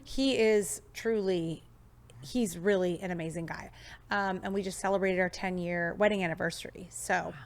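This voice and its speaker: A woman's voice: fairly low pitch, fairly even intonation, normal speed. A woman in her thirties hosting a podcast in a studio, bubbly and enthusiastic as she chats with her guest. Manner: bubbly, enthusiastic, scripted intro then chatty. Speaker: podcast host. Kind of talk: upbeat podcast interview.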